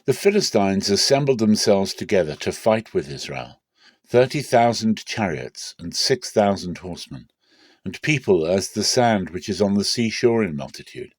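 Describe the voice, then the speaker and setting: A man giving a deliberate, dramatic reading of scripture as a narrator, with measured phrasing. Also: on the low side, animated, dry recording. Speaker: Bible reader.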